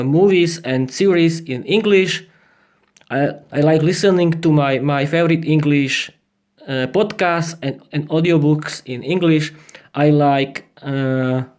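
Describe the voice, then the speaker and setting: A man's voice: a little high, a little mellow. A man in his thirties with a Slovak accent, improvising a podcast monologue in hesitant learner English, often repeating and restarting his phrases. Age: 30s. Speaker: podcast host.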